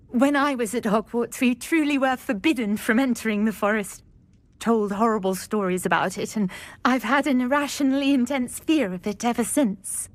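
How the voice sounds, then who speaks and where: An actress playing a gentle, wistful and timid witch in a video game, with a British accent and a genteel, fluttery manner. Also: sits high, no room sound. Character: gentle, wistful, timid middle-aged witch, fond of butterflies.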